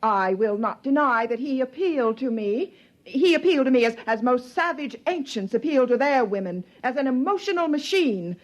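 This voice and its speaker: Brief: a prim, indignant young lady, high and animated delivery. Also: normal speed. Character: prim, prissy young woman passenger, legalistic. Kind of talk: 1950s radio drama acting.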